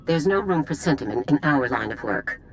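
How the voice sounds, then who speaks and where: Casting: cold, authoritative general in a sci-fi game; lively pitch. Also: female voice, fairly quick, gravelly, slight background noise. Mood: scolding. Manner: clipped, authoritative, unbending. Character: stern female general, cold and commanding. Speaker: army general. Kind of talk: video game cutscene acting.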